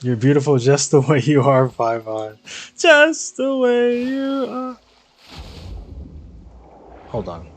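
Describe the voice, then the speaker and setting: Higher-voiced man streaming games, slangy. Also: sing-song, slow, pauses often. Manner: slangy, sweary, exclamatory. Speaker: game streamer. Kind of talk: casual live game commentary. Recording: game music behind the voice. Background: video game music.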